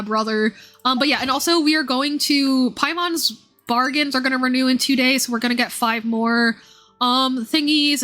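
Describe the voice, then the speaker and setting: A woman's voice: a little high, pushed and bright. A woman live streamer chatting casually with viewers about a game, rambling in an informal, slangy way. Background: music.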